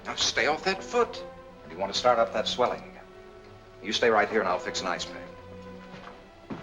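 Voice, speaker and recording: An actor playing an earnest, lovestruck American engineer in a 1940s film noir, speaking in a conversational manner. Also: male voice, fairly low pitch, big pitch swings, moderate pace, poor audio. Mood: gentle, courteous. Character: earnest, lovestruck male engineer, sentimental and solicitous. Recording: 1940s film soundtrack, faint music.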